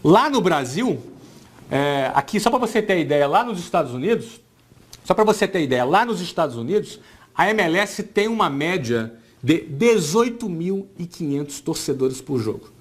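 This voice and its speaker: A man's voice: on the high side, sing-song, normal speed, breathy edge. Brazilian man in his forties speaking informally. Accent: Brazilian Portuguese. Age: 40s. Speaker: entrepreneur giving a talk. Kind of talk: informal motivational business talk.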